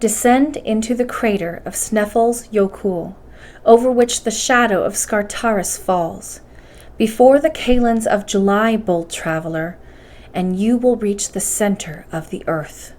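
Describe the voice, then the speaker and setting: A woman reading a bedtime story aloud with expressive, carefully enunciated narration. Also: mid-range voice, measured pace. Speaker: storybook reader.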